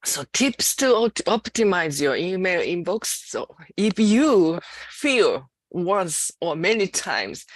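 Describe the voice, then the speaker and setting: A woman giving a training presentation as a system developer manager, talking in a halting, hesitant way with many restarts. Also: fairly low pitch, dry recording. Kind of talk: slide presentation.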